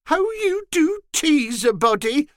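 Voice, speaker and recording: A man puts on a fluttery, mock-modest woman of a period storybook. The voice leaps far up into a womanish simper. It is coy and extremely animated. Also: slow, no room sound, studio quality, heavily acted. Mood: coy, flustered. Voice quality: falsetto-like, womanish. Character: young dandy mimicking a simpering, squirming coy woman.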